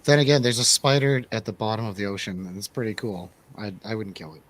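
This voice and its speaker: A man's voice: on the low side, steady tone, quick pace. A man chatting casually as a panelist on a movie review show, with a conversational, joking manner. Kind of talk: casual chat.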